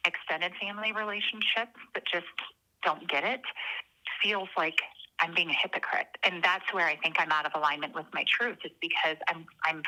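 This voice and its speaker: A woman's voice: fairly low pitch, fairly even intonation, moderate pace, sharp tone, poor audio. A woman calling in to an advice show, hesitant and searching for words as she talks emotionally. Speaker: caller. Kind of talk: phone-in conversation.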